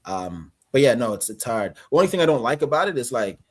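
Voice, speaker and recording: Husky Canadian man, laid-back chat. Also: medium pitch, quick pace. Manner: relaxed, rambling, laughs often. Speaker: guest actor. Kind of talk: casual livestream chat.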